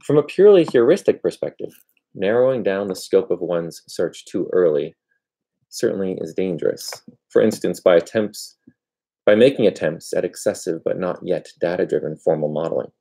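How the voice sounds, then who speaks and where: Man in his thirties, low mellow voice, halting academic book reading, gravelly. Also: lively intonation. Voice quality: gravelly, husky. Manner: halting, with misreadings and self-corrections. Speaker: amateur book reader. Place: furnished room at home, indoors.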